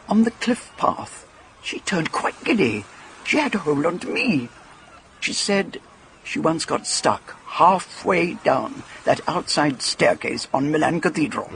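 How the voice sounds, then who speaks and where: A blunt, sporty English spinster of middle age in a radio drama. Her low female voice is gravelly and lively, brisk and wry, over a noisy background. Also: heavily acted.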